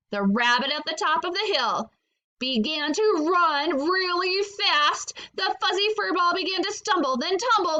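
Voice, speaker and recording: Bright, high-pitched woman reading a children's story. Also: dull audio. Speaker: teacher reading aloud to pupils. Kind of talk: expressive children's book read-aloud.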